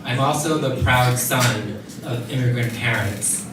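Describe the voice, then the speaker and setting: A man's voice: medium pitch, measured pace. A man from New York giving an informal welcome speech as a nonprofit executive in a dance studio. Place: indoor dance studio, before an audience. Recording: echoey dance studio, distant, noisy.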